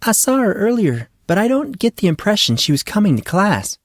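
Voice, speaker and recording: A man's voice: high voice, very animated, a little fast, no echo, clean studio sound, voice pushed up, exaggerated voice. A man voicing a young schoolgirl who answers helpfully and a little tentatively in an audiobook classroom. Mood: hesitant, helpful.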